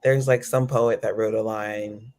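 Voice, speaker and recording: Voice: medium pitch, fairly even intonation, a little slow, a little mellow. A poet speaking as a guest in casual, unscripted conversation, telling things in an anecdotal way.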